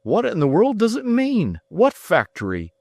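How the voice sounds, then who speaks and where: Fussy older gent, exclamatory, lifted pitch, voiced by a male narrator. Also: wildly swooping pitch, measured pace, gravelly, darkish tone, dry recording, studio quality, heavily acted. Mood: excitable. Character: fussy excitable older gentleman.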